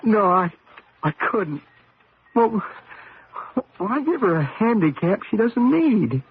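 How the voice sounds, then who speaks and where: An actor playing a troubled, devoted husband and former race driver in a radio mystery drama, speaking in a halting, emotional way. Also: male voice, high-pitched, very animated, slight background noise, full character voice. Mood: anguished. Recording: muffled narrow-band old radio recording.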